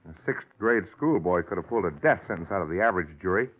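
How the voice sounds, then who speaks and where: An actor playing a grave, worried middle-aged district attorney under threat, in 1940s radio drama style. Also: male voice, low voice, expressive, fast, breathy edge. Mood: grave, reflective. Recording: tinny old radio recording.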